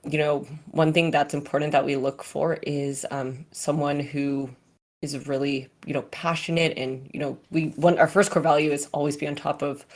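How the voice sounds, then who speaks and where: Woman, company head at a Q&A, low voice, casual, restarts often. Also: fairly even intonation, moderate pace. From California. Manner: chatty, many fillers and restarts. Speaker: company CEO answering questions.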